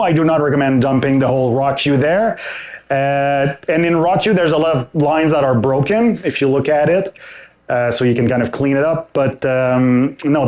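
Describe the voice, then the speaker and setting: Conference presenter, fairly high voice, roomy hall. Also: male voice. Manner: relaxed, thinks aloud with frequent "uh" and restarts. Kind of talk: technical conference talk and Q&A. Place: conference talk room.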